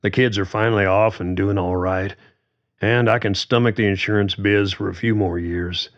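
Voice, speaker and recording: A man plays a serious, worn-down middle-aged musician. He talks earnestly and swears when pushed, in a low voice. The sound is dull and lo-fi. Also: steady tone, normal speed, lightly acted. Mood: reflective. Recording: dull lo-fi recording.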